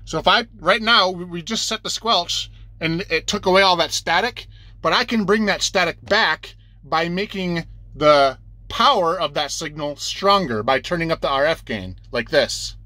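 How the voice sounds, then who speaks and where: A man's voice: fairly high pitch, normal speed, pushed and bright, no room sound. A man giving a casual, off-the-cuff tutorial as an instructor, explaining things plainly step by step and repeating points for beginners.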